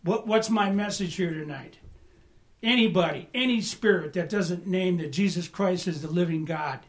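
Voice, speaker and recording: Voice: medium pitch, normal speed. A pastor teaching a Bible study in a conversational way, often asking the room "right?" and "amen?", with bursts of emphasis.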